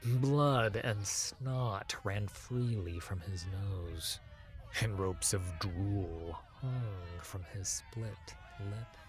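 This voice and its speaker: Narrator, male: fairly deep, solemn, unhurried dramatic audiobook read over a music bed. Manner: measured, solemn.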